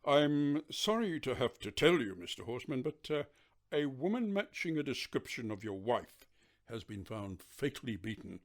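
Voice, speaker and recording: A man's voice: medium pitch, very animated, moderate pace. A man playing a hesitant, formal police detective in a radio play. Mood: somber. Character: hesitant, formal male police detective bearing bad news.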